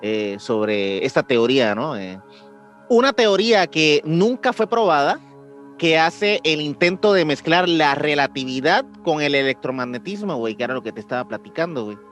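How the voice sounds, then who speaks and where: A man with a Mexican accent, co-hosting a podcast and explaining things in a relaxed, chatty way. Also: on the high side, moderate pace, soft background music. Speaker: podcast co-host. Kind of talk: casual chat.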